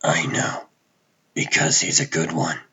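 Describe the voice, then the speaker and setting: A man voicing a gruff, calm and wry vigilante superhero in a comic book dub, with a husky, hushed rasp and terse delivery. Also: rumbling low, muffled recording, a little hiss or hum, full character voice. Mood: calm, serious. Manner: terse, dry, deliberate.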